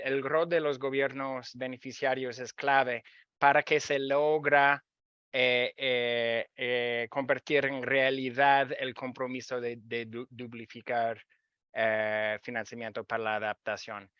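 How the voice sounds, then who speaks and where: A man with a Canadian accent speaking as a webinar panelist, animated and emphatic, often searching for words. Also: mid-range voice, clear, resonant tone, no room sound, muffled recording.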